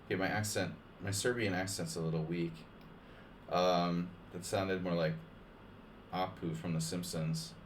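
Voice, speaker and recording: Deep-voiced guy streaming chess, rambly and jokey, says like a lot, bit of faint noise behind. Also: steady tone, unhurried. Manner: rambling, lots of "like" and restarts; loose, joking asides. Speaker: chess streamer playing viewers. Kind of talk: casual live-stream chat over games.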